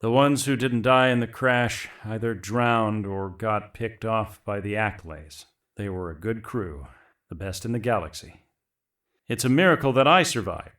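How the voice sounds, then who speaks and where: A man's voice: on the low side, a little slow, fairly bright tone. A man playing a plainspoken shipwrecked mercenary in a space adventure audio drama. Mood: somber. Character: male shipwrecked mercenary hunter, rugged and plainspoken, courteous.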